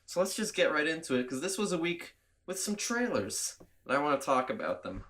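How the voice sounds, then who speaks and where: A man's voice: fairly high pitch, big pitch swings, fairly quick, a bit bright. Chatty man with a cold. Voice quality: nasal. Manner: chatty, laughs often, rambling. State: has a cold. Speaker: podcast host. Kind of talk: casual chat.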